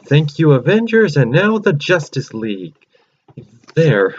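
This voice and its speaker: Excited rambling man reviewing a movie. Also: on the high side, unhurried, muffled recording. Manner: excited and rambling, many fillers and restarts. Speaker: channel host. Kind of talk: casual movie review vlog.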